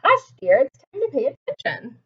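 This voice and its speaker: A woman voicing a gentle but firm schoolteacher of young children, instructing them in a storybook. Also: high-pitched, huge pitch swings, normal speed, distant mic, highs cut off. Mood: gently scolding. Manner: instructing, addressing children as dear.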